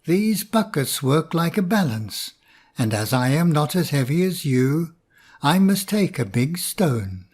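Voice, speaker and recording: A cunning fox talking his way out of trouble, read by a man. He speaks slowly and persuasively, in a fairly high voice. It sounds like a storybook read aloud. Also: big pitch swings, darkish tone, breathy edge, exaggerated voice. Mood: scheming. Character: sly scheming male fox.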